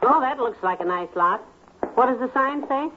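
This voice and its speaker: A woman's voice: a little high, expressive, moderate pace, a bit bright. A woman playing a patient, dryly teasing wife in a 1950s radio comedy. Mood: questioning. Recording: tinny, noisy 1950s radio recording.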